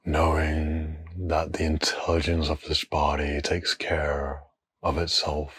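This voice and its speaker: Very deep, slow, hushed male meditation guide. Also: breathy edge. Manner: hushed, unhurried, long pauses between short phrases.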